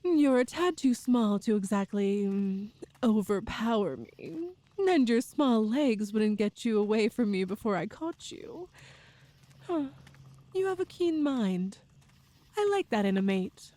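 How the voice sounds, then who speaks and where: Woman playing a slow-talking gentle Amazonian warrior woman. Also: a little high, lively intonation. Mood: reassuring, warm. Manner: gentle, slightly formal, giggly phrasing. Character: tall strong Amazonian woman, cheerful, doting, eager. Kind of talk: ASMR roleplay audio drama.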